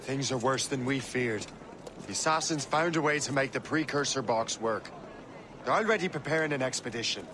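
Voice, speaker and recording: A man playing a determined Templar hunter, mid-pitched. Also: normal speed, natural voice. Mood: concerned. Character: determined, self-reliant male Templar hunter and seaman. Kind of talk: dramatic video game acting. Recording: clear background noise.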